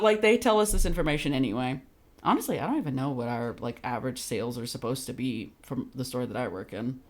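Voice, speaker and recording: A young adult game streamer chatting casually with viewers in a sweary, giggly, teasing manner. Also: mid-range voice. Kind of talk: casual livestream chat with viewers.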